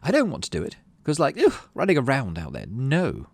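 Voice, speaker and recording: A man's voice: mid-range voice, wildly swooping pitch, a little fast, vocal fry, no room sound, pitched above the performer's own voice, exaggerated voice. A man voicing a bossy, spoiled, posh colony girl in a video game, with an affected whiny, haughty manner. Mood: smug, dismissive.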